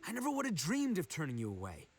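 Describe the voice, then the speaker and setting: A man's voice: fairly high pitch, wildly swooping pitch, fast, slightly rough, heavily acted. A man playing a brash, big-hearted young male oni gang leader in a video game, with cocky, slangy, earnest delivery. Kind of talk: English video game voice acting.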